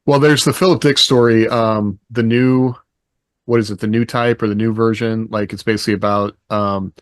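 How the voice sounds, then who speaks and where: A man's voice: fairly low pitch, steady tone, moderate pace, no room sound. A man co-hosting a podcast, talking informally and thinking aloud in a casual panel discussion. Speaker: podcast co-host.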